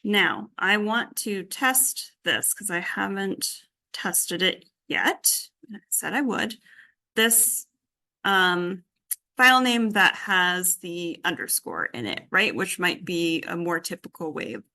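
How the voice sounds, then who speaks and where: A woman's voice: on the low side, slow, pushed and bright. A woman giving an informal live coding demonstration as instructor, tentatively thinking aloud as she works. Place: indoor group workshop session.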